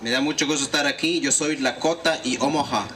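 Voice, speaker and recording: A man's voice: mid-range voice, fairly even intonation, brisk. A male interpreter renders each remark fluently and steadily after the speaker. Light background noise comes through at an outdoor event. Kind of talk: consecutive interpreting. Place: outdoor gathering.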